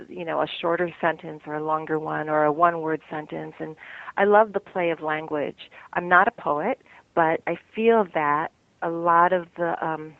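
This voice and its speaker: A woman's voice: fairly low pitch, gravelly, no room sound, phone-quality sound. An American woman author being interviewed, speaking in a thoughtful way, searching for words and laughing often. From United States. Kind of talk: reflective interview talk.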